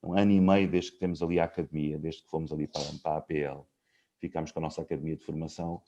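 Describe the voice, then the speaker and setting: A man's voice: very deep voice, level tone, rapid-fire, mellow, highs cut off, a little hiss or hum. A man in his fifties hosting a casual conversation from home, explaining his views at length with many fillers. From Portugal. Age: 50s. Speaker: host.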